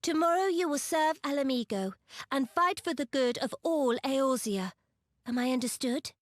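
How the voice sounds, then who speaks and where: A woman's voice: sits high, lively intonation, normal speed, no room sound, clean studio sound. A woman playing a small, resolute young sultana in a fantasy game, regal and tender, with formal archaic speech. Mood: commanding, solemn. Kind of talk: dramatic fantasy game voice acting.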